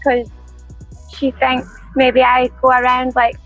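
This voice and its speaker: A woman with a soft, quiet, sweet-sounding voice, giving a casual interview answer. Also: high voice, monotone, takes it slowly, faint music behind, poor audio. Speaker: professional fighter.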